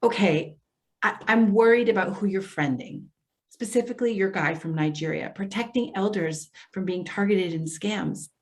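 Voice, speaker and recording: A woman's voice: medium pitch, normal speed, lightly acted. A woman playing an earnest, apologetic social worker in readers theater, speaking with careful, placating hesitation. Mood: concerned, placating.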